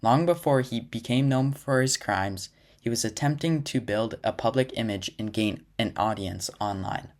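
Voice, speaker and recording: A man reading scripted documentary narration in an even, measured way. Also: mid-range voice.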